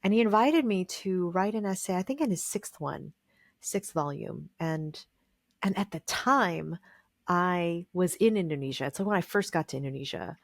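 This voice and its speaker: A woman's voice: on the low side, expressive, normal speed, no room sound, studio quality. A woman being interviewed as a guest musician. She talks in a thoughtful, meandering way, with many fillers and restarts. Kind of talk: casual interview conversation.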